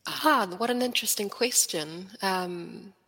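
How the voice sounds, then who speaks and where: Bright-toned, hesitant New woman. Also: mid-range voice, expressive, unhurried, vocal fry, slightly rough, in a large hall, rough recording. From New Zealand. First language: Swedish. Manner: thoughtful, hesitant, frequent um fillers. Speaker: storyteller guest. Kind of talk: casual interview chat.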